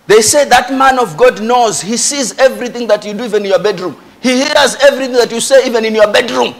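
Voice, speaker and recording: High-pitched Kenyan preacher, emphatic man. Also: quick pace. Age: middle-aged. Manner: emphatic, repeats key phrases for effect. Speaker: pastor. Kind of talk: animated church sermon with Swahili asides. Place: church stage before a congregation. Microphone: handheld microphone.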